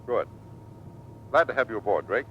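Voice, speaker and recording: Male squadron commander, authoritative and animated, stern yet fair, old radio serial. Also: lowish voice, normal speed, lo-fi sound. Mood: gruffly kind. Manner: clipped, authoritative. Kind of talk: 1940s radio acting. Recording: faintly noisy vintage radio recording.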